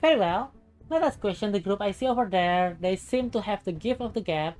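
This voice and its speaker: A woman's voice: medium pitch, animated, a little fast, a little mellow, soft background music, natural voice. A woman voicing an attentive young lady-in-waiting in a visual novel, formal and deferential. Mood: brisk, agreeable.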